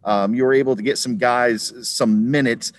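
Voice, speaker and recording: A man's voice: medium pitch, fairly even intonation, normal speed, dry recording. A man, a sports reporter, asking rambling questions with many restarts on a video call. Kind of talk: press conference questions.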